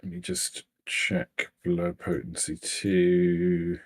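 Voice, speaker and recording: A man narrating a tabletop roleplaying game as game master, halting as he searches for words. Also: deep voice, little pitch movement.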